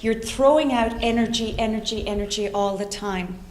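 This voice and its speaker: A woman's voice: a little high, normal speed, a little mellow. Irish woman speaking in an echoey room. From Ireland. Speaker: guest speaker, orchestra conductor and composer. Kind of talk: personal storytelling to a live audience. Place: indoor conference room before a live audience. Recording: echoey room with faint background noise.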